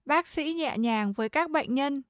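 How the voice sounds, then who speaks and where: A woman reads short translated lines in a plain, even way. Her voice sits slightly high. The sound is dry and close to the mic. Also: normal speed, no echo. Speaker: translation reader. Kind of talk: reading Vietnamese translations.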